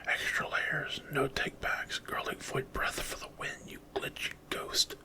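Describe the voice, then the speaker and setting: A man's voice: a little slow, close to an everyday voice. Whispered male performer as a hive-swarm creature, cocky, savage, menacing, trash-talking, ASMR story character. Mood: mocking.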